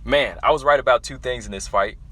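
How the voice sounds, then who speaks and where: A young man casually breaking down a fight for his viewers, animated and talking straight to them as y'all. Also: medium pitch, fairly quick. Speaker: MMA analysis vlogger.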